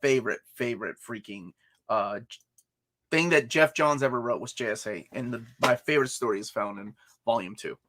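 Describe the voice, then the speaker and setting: A man's voice: medium pitch, brisk, noisy background. A young man co-hosting a casual comics livestream, friendly and full of fillers and restarts. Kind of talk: casual chat.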